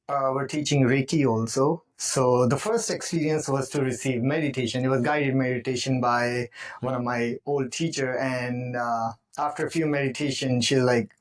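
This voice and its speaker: A man who speaks English as a second language, telling his life story slowly on a podcast with many pauses. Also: medium pitch. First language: not English. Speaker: podcast guest. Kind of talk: casual personal storytelling. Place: home studio, indoors.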